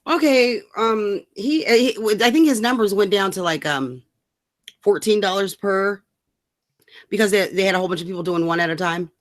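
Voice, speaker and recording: A woman's voice: medium pitch, moderate pace. A woman co-host ranting casually about politics, scornful and emphatic, swearing freely. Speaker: talk show co-host.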